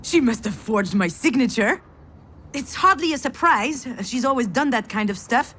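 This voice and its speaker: An actress playing a bitter, overwhelmed daughter in a visual novel, with a sardonic, dramatic delivery. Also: female voice, fairly high pitch, animated, normal speed, fairly bright tone. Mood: exasperated. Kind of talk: visual novel voice acting with inner monologue.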